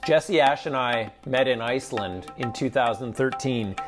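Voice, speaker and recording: A man, an ultramarathon runner, speaking in a reflective, measured way in a documentary interview. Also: medium pitch. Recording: music bed under the voice.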